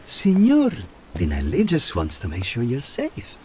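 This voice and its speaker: Sly Italian mobster, wildly swooping pitch. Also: male voice, wildly swooping pitch, a little slow, darkish tone, a little hiss or hum. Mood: sly, genial. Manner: insinuating, mock-friendly. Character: smooth-talking Italian Mafia go-between, slyly friendly. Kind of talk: video game cutscene acting.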